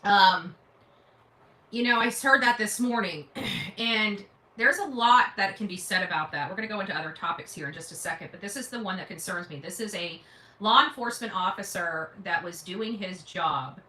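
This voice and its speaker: A woman hosts a casual livestream with a bright, forward voice. She gives emphatic, opinionated political commentary. The sound is roomy, with noticeable echo around her words. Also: medium pitch, moderate pace.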